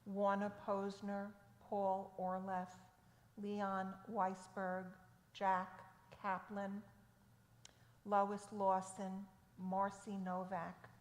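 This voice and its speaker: A woman leading a synagogue service, reading names slowly and with measure, then giving thanks and announcements. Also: mid-range voice. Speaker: service leader. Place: temple sanctuary. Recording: echoey sanctuary with long reverb.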